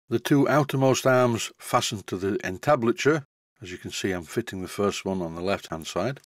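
A man's voice: on the low side, no room sound. An older man narrates a workshop tutorial in a scripted voice-over, with dry, self-deprecating humour. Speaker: model engineering tutor.

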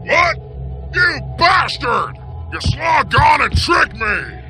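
An actor voicing a hot-tempered creature outlaw in a western video game, ranting in a raspy, exaggerated American voice. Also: male voice, very high voice, very animated, normal speed, sharp tone, faint music behind, heavily acted. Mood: furious.

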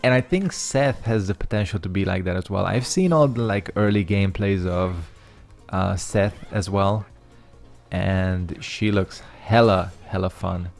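A man's voice: deep voice, unhurried, creaky, soft background music. Laid-back young man commentating his gameplay. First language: Hungarian. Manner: rambling, loose slang, frequent fillers. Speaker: gaming channel host. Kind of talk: casual commentary over gameplay.

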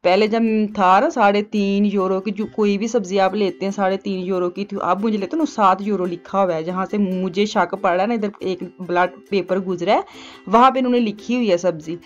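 A woman's voice: medium pitch, fairly even intonation, brisk, muffled recording, soft background music. A middle-aged woman, rambling homely voice-over for her shopping vlog. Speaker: vlogger. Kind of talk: chatty voice-over narration. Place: home, recorded as voice-over.

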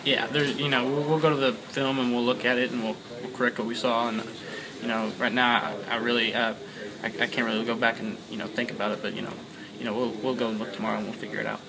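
Young college QB after a game, flat quick delivery, stumbling over fillers, busy chatter around. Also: male voice, mid-range voice, gravelly, low-quality recording. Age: young adult. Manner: halting, many fillers and repeated words. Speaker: college quarterback. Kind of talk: postgame media scrum. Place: crowded locker-room interview area, indoors. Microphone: several handheld mics and phones held close. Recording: busy crowd chatter behind.

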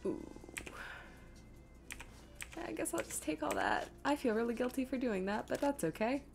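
A woman's voice: high voice, sing-song, moderate pace, gravelly, noisy background. A woman streamer giving casual live gameplay commentary, chattily thinking aloud to herself and her viewers. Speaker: video game streamer.

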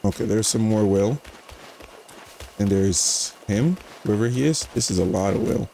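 Raspy young guy, low dark voice, gravelly, casual game commentary. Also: unhurried, a little hiss or hum. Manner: laid-back, slangy, thinking aloud. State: just woke up. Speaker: gaming channel host.

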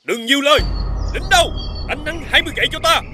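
Cartoon mandarin: greedy bully, very high voice, wildly swooping delivery, loud music underneath. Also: male voice, lively intonation, fast talker, background noise. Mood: angry, threatening. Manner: domineering, commanding. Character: greedy, bullying male mandarin, adult, imperious. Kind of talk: animated fairy-tale dubbing.

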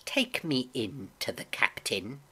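Woman voicing a slow, menacing blind old beggar man. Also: extremely low pitch, very animated, slightly rough, exaggerated voice. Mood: cruel, threatening. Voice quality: soft-spoken, cold. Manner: drawn-out odd sing-song, sneering.

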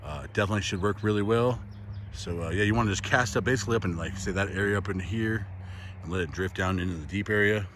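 A man's voice: fairly low pitch, fast talker, noisy background. A man hosting a casual fishing vlog outdoors by a river, calling out to his viewers. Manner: excited, addresses viewers as guys. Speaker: fishing channel host.

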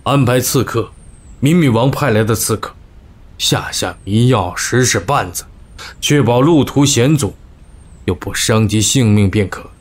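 An actor playing an earnest, scheming court adviser, formal and deferential, in an ancient-costume audio drama. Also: male voice, mid-range voice, measured pace, natural voice. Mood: earnest, cautious.

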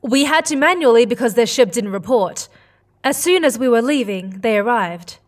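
A woman's voice: fairly high pitch, animated, normal speed, close to an everyday voice. An actress playing a worried, determined alien mother in a sci-fi audio drama, earnest and insistent, recorded at some distance. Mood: puzzled, concerned.

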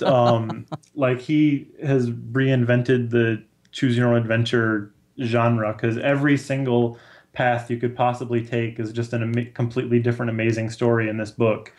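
A man in his thirties chatting casually as a guest, explaining in a halting way with many fillers. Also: medium pitch, a little mellow. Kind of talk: casual book recommendation chat. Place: small room at home, on a video call.

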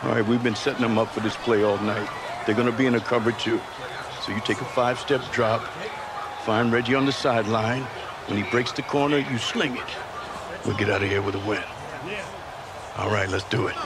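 An actor playing a calm, plain-spoken middle-aged American football coach in a video game cutscene. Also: male voice, lowish voice, a little fast, pauses often, heavy background noise, lightly acted. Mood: instructing.

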